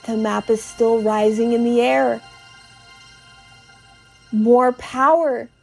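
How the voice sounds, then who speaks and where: Slow, high-voiced woman dramatically narrating a game. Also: steady tone, creaky, husky, faint music behind. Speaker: podcast host playing a video game.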